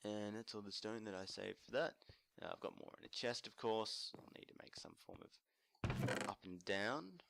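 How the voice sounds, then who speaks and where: A man casually narrating a building tutorial while playing, murmuring to himself and correcting himself as he goes, his voice a little croaky. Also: on the low side, animated, normal speed, very noisy. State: slightly croaky from screaming at a concert. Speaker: let's play host.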